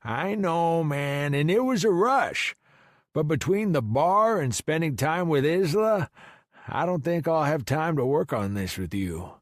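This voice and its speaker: A man's voice: fairly high pitch, sing-song, measured pace, no room sound, clean studio sound, pitched above the performer's own voice. A man voicing a supportive, easygoing male friend who coaxes and encourages, in audiobook dialogue. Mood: amused, relenting. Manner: coaxing, encouraging, questioning.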